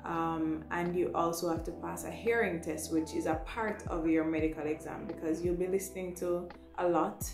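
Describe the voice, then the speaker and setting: A young woman with a Jamaican accent explains things informally to camera, in a slightly low voice. She is instructive and conversational, checking in with small tags as she goes. The small room gives a clear echo, and music plays behind her. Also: fairly even intonation, normal speed, few pauses. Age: young adult. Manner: instructive, often tagging points with "right" and "you know". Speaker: vlogger, trainee air traffic control assistant. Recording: roomy echo with music underneath.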